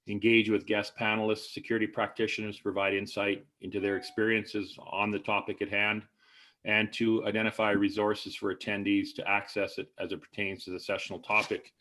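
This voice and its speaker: A man hosting an online webinar over a video call, speaking haltingly with many fillers and restarts. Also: fairly low pitch, a bit bright. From Canada. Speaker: webinar host.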